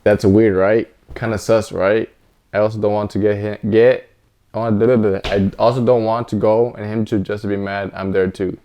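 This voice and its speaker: A man's voice: fairly low pitch, flat delivery, moderate pace, dark tone. A man co-hosting a casual advice podcast, speaking in an informal, slangy way. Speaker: podcast co-host.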